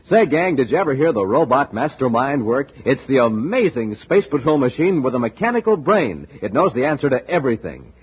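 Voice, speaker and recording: A male announcer pitching a sponsor on a 1950s children's radio serial in a punchy, emphatic style. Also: very animated, brisk, few pauses. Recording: muffled, noisy 1950s radio recording.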